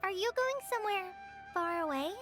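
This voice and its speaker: Tiny, very high-voiced eager youngster. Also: female voice, expressive, normal speed, fairly bright tone. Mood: curious, cheerful. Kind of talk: video game voice acting. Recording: clear game music behind the voice.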